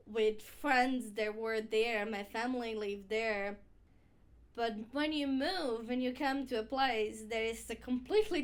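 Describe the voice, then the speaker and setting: A woman speaking earnestly and reflectively in a documentary interview, with slightly non-native phrasing. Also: on the high side. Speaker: migrant interviewee.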